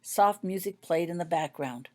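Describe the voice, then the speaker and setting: Lower-pitched woman narrating a story. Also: normal speed, warm tone. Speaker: author reading own fan fiction.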